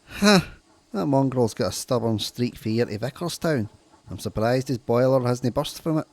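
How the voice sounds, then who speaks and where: A man voices a blunt locomotive from a children's railway comic dub. He is gruff and plain-spoken, with a middling male pitch and a hearty, grudging manner. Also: lively intonation, moderate pace, lightly acted. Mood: grumbling. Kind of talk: fan comic dub acting.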